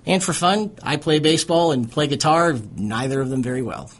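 A man's voice: a little high, lively intonation, a little fast. A middle-aged American man from Pittsburgh co-hosting a radio talk show, speaking fluently and articulately in a conversational style. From Pittsburgh, USA. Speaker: radio co-host, physician.

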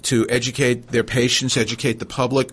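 A middle-aged man with a New York accent hosting a conversational radio talk show, speaking in a halting way with frequent pauses. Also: mid-range voice, little pitch movement, a bit bright, slightly rough. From New York, USA.

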